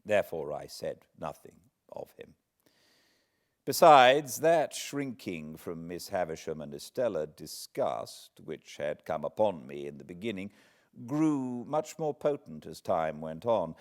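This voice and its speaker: A man, reading a classic novel aloud in measured, expressive storytelling. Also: sits low. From Britain. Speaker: audiobook narrator. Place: cozy home spot beside a fireplace.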